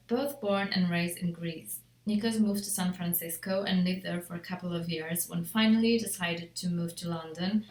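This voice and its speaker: A woman reads a scripted project presentation as an interior designer, in a measured, formal way. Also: fairly low pitch. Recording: echoey untreated room.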